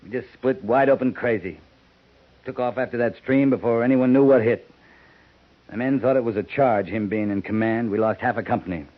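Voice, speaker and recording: An actor playing a hard-bitten cavalry captain, terse and brooding, in a 1950s radio drama. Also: male voice, medium pitch, normal speed, natural voice. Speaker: army captain. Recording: muffled 1950s radio broadcast.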